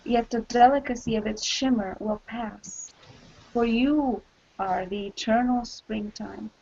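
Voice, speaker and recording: A woman reading poetic literary prose aloud in a solemn, deliberate manner. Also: fairly high pitch, gravelly, darkish tone, breathy edge, lo-fi sound. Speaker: co-reader of a book.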